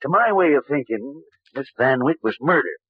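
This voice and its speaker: Husky, folksy old-radio lawman. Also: mid-range voice, animated, no echo. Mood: suspicious, sly. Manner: folksy, wry, drawn-out questioning. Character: folksy, shrewd small-island lawman, slyly probing. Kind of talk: old-time radio mystery acting. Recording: dull old radio recording.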